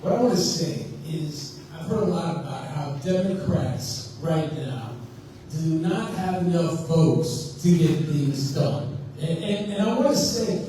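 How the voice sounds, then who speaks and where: Man, town hall audience member, frustrated and pointed, high mellow voice, distant in a roomy hall. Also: animated, a little slow. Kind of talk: public comment. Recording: echoey hall, voice from far off, faint noise.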